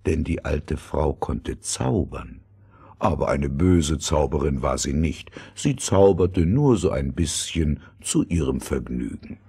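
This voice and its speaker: A man with a husky, breathy voice narrating a scripted fairy tale. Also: a bass voice, darkish tone.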